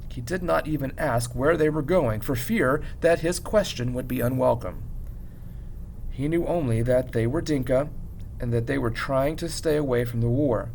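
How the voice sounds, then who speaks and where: A man reading a story aloud as a teacher would, in an expressive, measured narration with dramatic pauses. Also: medium pitch, vocal fry, slight background noise, rough recording.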